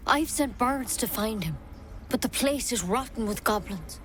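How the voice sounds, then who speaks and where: A woman acting a worried, earnest druid healer in a video game, speaking in a grave, measured way. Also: fairly high pitch, lively intonation, fairly bright tone, slight background noise. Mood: grave, hopeful. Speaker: game character actor. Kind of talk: video game cutscene dialogue.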